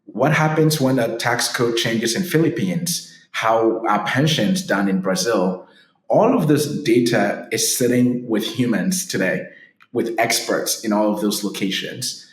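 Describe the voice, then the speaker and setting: A man from Ghana with a lowish voice explains his field as a podcast guest, upbeat and steady, tagging points with "right?". His voice rings in an echoey room. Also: measured pace. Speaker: startup founder guest. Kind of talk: podcast interview answers.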